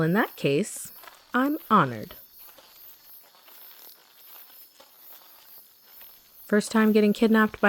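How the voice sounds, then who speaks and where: A woman acting as a young female hunter who has turned rebel, speaking in a low register. She is gentle and confiding, carrying guilt, in the intimate manner of roleplay audio drama. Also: poor audio. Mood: gentle, warm. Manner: soft, confiding, addressing the listener directly.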